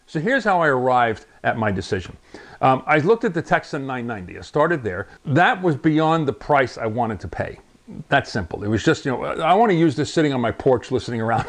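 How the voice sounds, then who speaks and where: Older guy on a lapel mic, rambly and chuckling, talking radios at home. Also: mid-range voice. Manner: chatty, rambling, chuckles often. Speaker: ham radio channel host. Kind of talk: casual product unboxing vlog. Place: home radio room, indoors.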